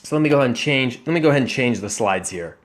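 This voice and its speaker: A man's voice: medium pitch, animated, rapid-fire. A man in his twenties vlogging earnestly about personal finance over slides, conversational with restarts and rising emphatic bursts of conviction. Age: 20s. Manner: conversational with restarts and self-corrections.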